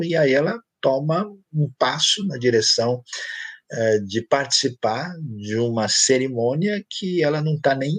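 A man's voice: medium pitch, breathy edge, dry recording. Man, Brazilian Portuguese accent, pastor, conversational Q&A teaching, dry close sound. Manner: conversational, explains with anecdotes. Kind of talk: Q&A church teaching.

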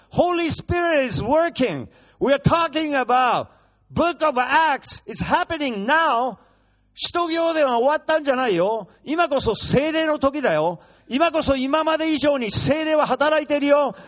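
Very high-voiced brash preacher man. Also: a bit bright. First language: Japanese. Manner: blunt, brash and joking, switching fast between languages. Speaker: guest pastor. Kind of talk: bilingual sermon. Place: church.

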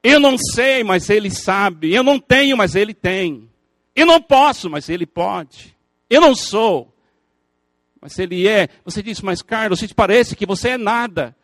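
An older man with a native English speaker's lilt delivers an earnest sermon, building intensity through repeated phrases. His voice sits high for a man and sounds close and dry, with no room around it. He breaks in with playful asides to the listeners. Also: animated, measured pace, a bit bright, dry recording. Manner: earnest preaching with emphatic repetition and rising intensity. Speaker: pastor.

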